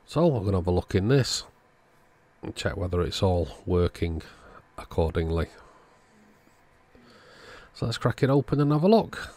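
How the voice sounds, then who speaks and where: A man calmly narrating a radio repair at his workbench in a matter-of-fact way. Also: low voice, moderate pace, long pauses. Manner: relaxed, matter-of-fact commentary while working. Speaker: electronics repair channel host. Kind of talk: narrated bench repair tutorial. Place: workbench indoors.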